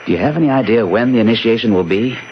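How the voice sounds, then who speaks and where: A man's voice: mid-range voice, expressive, very fast, natural voice. An actor playing an eager, earnest young man in a 1970s radio drama. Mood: elated, eager. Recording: dull, noisy old radio broadcast.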